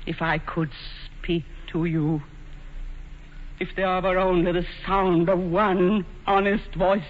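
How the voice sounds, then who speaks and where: An actress playing an imperious, lovelorn queen in a 1940s radio play, speaking in a regal, theatrical way. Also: mid-range voice. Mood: anguished. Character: imperious, shrewd, lovelorn ageing English queen. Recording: muffled hissy 1940s radio recording, long reverb.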